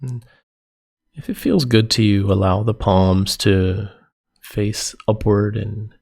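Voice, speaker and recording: A man leading a guided meditation as a yoga nidra teacher, giving gentle, soothing, unhurried instructions with pauses between phrases. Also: on the low side, vocal fry.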